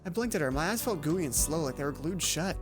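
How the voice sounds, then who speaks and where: Woman, let's play host, expressive story reading, lively intonation, casual commentary, music in background. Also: sits low, fast talker. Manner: expressive, acts out dialogue with casual asides. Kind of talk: reading a visual novel aloud with casual commentary.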